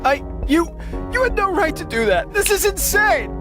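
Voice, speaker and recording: An actor in a radio drama playing a sick, captive space station officer, sarcastic, rambling and frantic. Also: male voice, very high-pitched, big pitch swings, moderate pace, over music. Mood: outraged. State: feverish, coughing.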